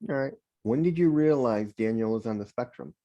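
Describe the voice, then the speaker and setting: A man's voice: mid-range voice, a little fast, dark tone, dull audio. A man hosting a podcast, reading a friendly intro and asking short questions. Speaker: podcast host.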